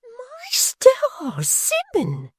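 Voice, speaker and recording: A shrill gossiping maidservant. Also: male voice, huge pitch swings, darkish tone, dry recording, clean studio sound, full character voice. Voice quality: shrill falsetto. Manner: gushing, mock-breathless, drawn-out exclamations. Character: spiteful gossiping young maidservant, shrill and affected. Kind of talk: audiobook character voice.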